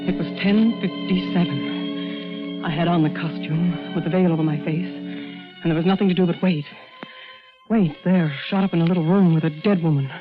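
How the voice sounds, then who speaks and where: An actress playing a tense woman who narrates her part in a murder plot, in deliberate 1940s radio suspense style. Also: fairly low pitch, wildly swooping pitch. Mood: urgent, dread. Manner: dramatic, deliberate, suspenseful delivery. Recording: muffled vintage radio recording, loud music behind.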